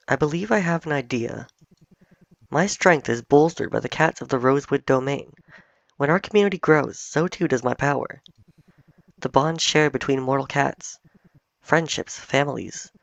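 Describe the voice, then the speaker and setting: A man voicing an ancient, wise cat spirit guardian, reading its lines in a solemn, measured way. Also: medium pitch, gravelly, a bit bright, no room sound. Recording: dull, dry home recording.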